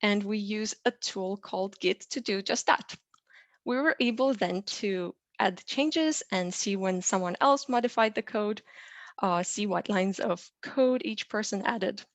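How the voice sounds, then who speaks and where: A young woman from Romania walks listeners through a coding tutorial, explaining steps clearly and pausing on "uh" and "um". Her voice sits at an ordinary middle pitch. The recording is close and dry, with no room sound. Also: no room sound. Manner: clear explanatory delivery with frequent "uh" and "um". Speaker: software engineer teaching a lesson. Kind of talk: presenting a tutorial.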